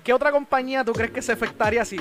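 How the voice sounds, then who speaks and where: A man's voice: extremely high pitch, fairly even intonation, very fast. A man with a Puerto Rican accent hosting a casual podcast, talking in slang sprinkled with English words. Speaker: podcast host. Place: small room at home, indoors. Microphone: close microphone on a boom arm. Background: music.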